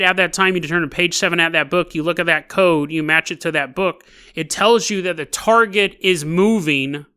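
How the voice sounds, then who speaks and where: A man hosting a solo podcast, telling stories in a casual, jokey, animated way. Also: high-pitched. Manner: animated, jokey, laughs at own asides. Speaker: podcast host. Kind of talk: casual comedic storytelling, solo podcast narration.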